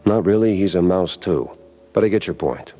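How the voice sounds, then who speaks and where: A low gravelly-noir style mouse gumshoe, wry and tired, music behind him. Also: male voice, expressive, normal speed, dark tone. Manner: wry, hard-boiled. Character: world-weary male mouse private detective, wry and dogged. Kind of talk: film noir video game voice acting.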